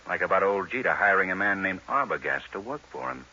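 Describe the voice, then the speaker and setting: Casino gangster, cool threat, clipped delivery, deep and forceful, muffled old radio crime show. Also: male voice, very animated, fairly quick, full character voice. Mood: cool, controlled. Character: cool, menacing male casino racketeer. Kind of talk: 1940s radio crime drama acting. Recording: muffled vintage radio with faint hiss.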